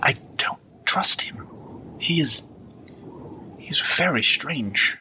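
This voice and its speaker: A man's voice: on the high side, animated, slow, pushed and bright, in a whisper, full character voice. A man playing a distrustful, reluctant traveller in a fantasy audio drama, urgent and protesting. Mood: wary, agitated. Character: distrustful reluctant male traveller stranded in another realm.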